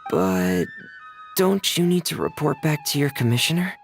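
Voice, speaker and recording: A man playing a shy, reserved, gentle teenage boy in a video game cutscene, hesitant, polite and apologetic. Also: on the high side, sing-song, moderate pace, creaky, loud music behind the voice.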